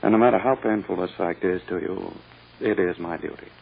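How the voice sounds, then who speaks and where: Gravelly, silky, ruthless old-radio lawyer. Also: male voice, low-pitched, full character voice. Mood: coldly menacing. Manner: pompous, condescending, deliberate. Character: smug, ruthless, silky male prosecuting lawyer. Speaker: actor. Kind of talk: 1940s radio drama acting. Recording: muffled old-time radio recording.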